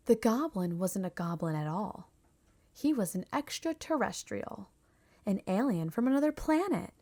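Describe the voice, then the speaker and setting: A woman reads a scripted children's story aloud. Her pace is slow and measured, with an expressive delivery meant for young listeners. Her pitch sits in the middle for a woman. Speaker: storybook reader.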